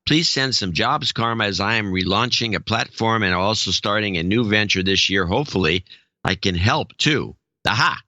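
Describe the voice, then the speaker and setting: A man's voice: on the low side, lively intonation, moderate pace, pushed and bright, dry recording, dull audio. A man co-hosting a talk podcast, reading listener notes aloud with dry humor. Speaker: podcast co-host. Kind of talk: casual chat, reading donor notes.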